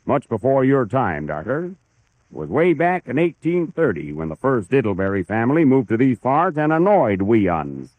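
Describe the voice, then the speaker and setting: 1930s radio comedy, narrow vintage sound: male comic as feuding old Kentucky hillbilly father, folksy and animated. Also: mid-range voice, normal speed, no room sound. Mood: stubborn, grudging. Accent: put-on rural Kentucky hillbilly. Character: cantankerous old hillbilly pappy nursing a family feud. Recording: thin, band-limited 1930s radio recording.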